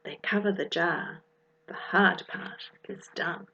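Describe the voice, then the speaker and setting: Unhurried New Zealand woman reading a story. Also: fairly low pitch, sharp tone, muffled recording. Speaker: author reading her own book. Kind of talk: expressive children's story reading in rhyme.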